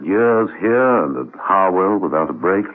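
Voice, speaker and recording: An actor playing a suave, unruffled gentleman spy in a radio drama, with polite, wry understatement. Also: sits low, lively intonation, a little slow. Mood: feigned innocence. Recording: muffled vintage radio recording.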